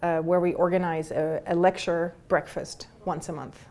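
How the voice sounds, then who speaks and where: A woman's voice: fairly low pitch, little pitch movement, moderate pace. A middle-aged woman from Switzerland speaking earnestly and with enthusiasm in a casual conversation. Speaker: entrepreneur. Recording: faint room ambience.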